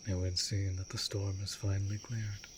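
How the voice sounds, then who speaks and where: A man voicing a wounded, courtly vampire in an intimate fantasy audio drama, tender and protective, speaking formally and in a hushed way. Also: low voice, level tone, moderate pace, noisy background, natural voice. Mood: soft, guarded. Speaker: voice actor in a romantic audio roleplay.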